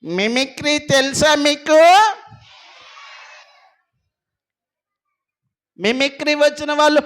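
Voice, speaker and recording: High, bright, cheeky puppet. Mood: playful. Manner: slow, questioning, playfully huffy. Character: cheeky, easily offended puppet friend of Sweetie. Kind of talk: children's Sunday school puppet show.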